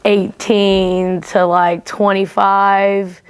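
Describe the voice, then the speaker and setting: A woman's voice: mid-range voice, level tone, extremely slow, smooth. A woman in her twenties on a podcast panel, opinionated and telling stories. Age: 20s. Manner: opinionated, storytelling. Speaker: panel guest. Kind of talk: casual panel discussion.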